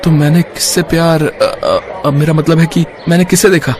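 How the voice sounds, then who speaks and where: A man's voice: a little high, normal speed, a little mellow, natural voice. A man playing a stammering, flustered young man. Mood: dazed, confused. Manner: stammering, hesitant. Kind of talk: dramatized Hindi moral story.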